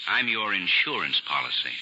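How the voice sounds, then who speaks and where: An actor playing a stern assistant district attorney who presses and persuades firmly, in 1940s radio acting. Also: male voice, low-pitched, big pitch swings, moderate pace, bright, forceful tone, full character voice. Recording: muffled 1940s radio broadcast with hiss.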